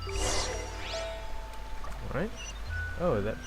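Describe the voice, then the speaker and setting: A young man from Malaysia giving casual live gameplay commentary, thinking aloud in a halting way. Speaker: game streamer. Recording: game music behind the voice. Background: game music.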